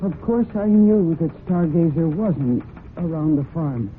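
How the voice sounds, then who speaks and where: A woman's voice: low-pitched, expressive, a little slow. Fraught horsewoman on muffled old radio. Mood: tense, foreboding. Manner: fraught, breathless, emotionally heightened delivery. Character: distraught, fiercely protective horsewoman narrating her own crime story. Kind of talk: dramatic old-time radio acting with first-person narration. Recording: muffled phone-like old radio recording with hiss.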